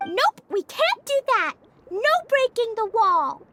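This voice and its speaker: A woman voicing a small, excitable young girl in a game, in a very high, bubbly childlike voice, eager and chattering. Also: takes it slowly, heavy background noise, heavily acted. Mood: excited. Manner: eager, chattering, easily surprised. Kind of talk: game dialogue. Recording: game audio with loud music beneath.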